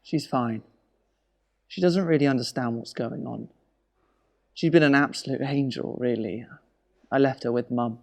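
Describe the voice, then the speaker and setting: An actor playing an anxious, weary husband in a naturalistic audio drama, speaking in a wry, hesitant, conversational way. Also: male voice, medium pitch, measured pace, creaky, pauses often. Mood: subdued, anxious.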